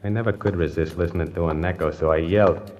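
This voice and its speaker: A man's voice: low-pitched, fairly even intonation, quick pace, a little mellow, a little hiss or hum, natural voice. An actor playing a brash, jumpy small-time hustler who narrates his own story in wry, colloquial 1940s radio suspense style. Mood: uneasy, hushed. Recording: vintage radio broadcast with music.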